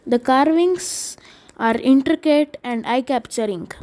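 A woman narrating a travel vlog in a measured, explanatory voice-over. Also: high-pitched, dark tone. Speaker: travel vlogger. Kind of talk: scripted narration.

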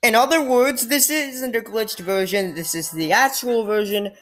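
A woman's voice: fairly high pitch, moderate pace, a bit bright. A woman commentating on a game she is playing, in a casual, exasperated and sarcastic way, often sighing. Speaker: let's play gamer. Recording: faint game music behind.

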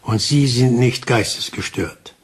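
An actor playing a calm, insistent investigator who questions in a measured, reassuring way in a German crime radio play. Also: male voice, medium pitch, slightly rough. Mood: reassuring, firm.

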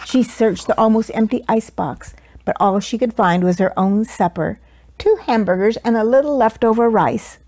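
A woman in her sixties reading a children's picture book aloud expressively, with deliberate, dramatic emphasis for young listeners. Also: fairly high pitch, a little mellow. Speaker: children's story reader. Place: small living room, indoors. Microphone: lapel mic.

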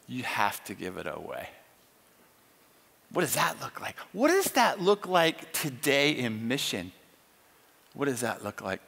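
A middle-aged man preaching on a large church stage, emphatic and animated, acting out quoted lines as he tells a story. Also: sits high. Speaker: conference speaker. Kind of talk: sermon with storytelling. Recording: long hall reverb.

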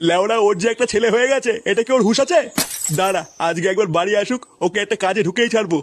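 A man's voice: high voice, fast. A man voicing a cranky, foul-mouthed old village grandfather in a comic cartoon, scolding and grumbling. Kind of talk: exaggerated comic cartoon dialogue.

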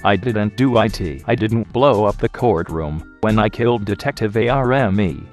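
A man voicing a bitter, twitchy male suspect and gadget geek with a halting delivery in a game fan dub. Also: fairly low pitch, soft background music, natural voice. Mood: insistent.

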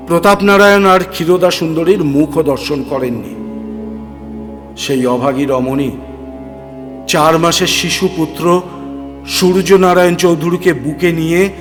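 High-pitched male storyteller in long reverb. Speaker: story narrator. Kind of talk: dramatic scripted audio storytelling. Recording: long reverb, music bed.

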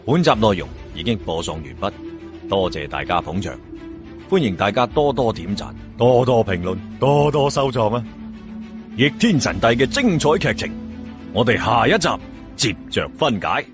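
Guy telling a story, really animated and dramatic, jokey asides, faint music behind him. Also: fairly low pitch, normal speed. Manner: theatrical, with playful asides and exclamations. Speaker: audiobook storyteller. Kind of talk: dramatic colloquial story narration. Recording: background music behind narration.

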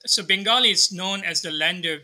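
A man in his thirties from Bangladesh, answering interview questions thoughtfully over a video call from a study lined with books, pausing and restarting often. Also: sits high, bright, forceful tone. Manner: thoughtful, many restarts and fillers. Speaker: guest scholar. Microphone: video call webcam.

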